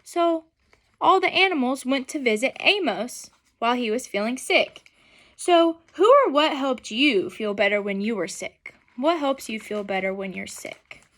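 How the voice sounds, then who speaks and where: Bright-voiced young woman reading to children. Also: fairly high pitch, unhurried. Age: young adult. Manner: expressive, instructional, addressing young children. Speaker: student teacher reading aloud to children. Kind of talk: picture-book read-aloud with questions to children. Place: small furnished room at home, indoors.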